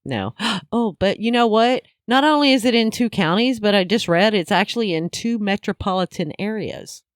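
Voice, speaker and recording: A woman's voice: mid-range voice, expressive, moderate pace, no echo, studio quality. A woman in her fifties, co-hosting a podcast with laughing, teasing banter. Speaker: podcast co-host. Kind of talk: casual chat.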